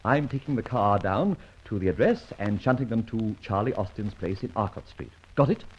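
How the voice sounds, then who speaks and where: An actor playing a dashing, breezy gentleman adventurer in an old-time radio detective drama. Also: fairly low pitch, lively intonation, normal speed, breathy edge. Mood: brisk, confiding. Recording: dull, lo-fi old radio recording, faint music.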